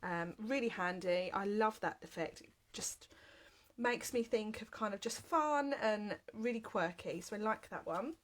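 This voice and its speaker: A woman's voice: mid-range voice, expressive, unhurried. A woman in her thirties with a heavy cold, leading a live card-making class from her home craft room. She talks in a chatty, upbeat way with plenty of "um"s. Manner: chatty and upbeat, frequent "um" fillers. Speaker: craft demonstrator. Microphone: webcam at desk, then overhead camera.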